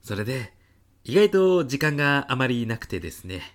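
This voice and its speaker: Man playing an earnest middle-aged manager pitching girlishly. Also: normal speed, close to an everyday voice. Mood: polite, businesslike. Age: 50s. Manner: polite business speech, theatrically expressive pitching. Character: earnest middle-aged male manager presenting in a young woman's girlish manner. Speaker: ad agency department manager giving a presentation. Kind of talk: comedic business radio drama acting.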